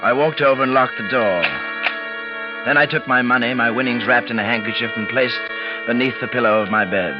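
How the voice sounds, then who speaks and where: An actor playing an uneasy man who narrates his own frightening night, deliberate and dramatic, in a 1940s radio drama. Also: medium pitch, fairly even intonation, close to an everyday voice. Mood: uneasy, dazed. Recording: dull vintage radio, music behind.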